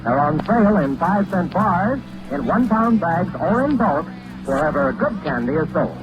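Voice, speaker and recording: A man's voice: fairly high pitch, big pitch swings, moderate pace. A man announcing in polished, salesmanlike 1940s radio commercial style. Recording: dull old radio recording with music.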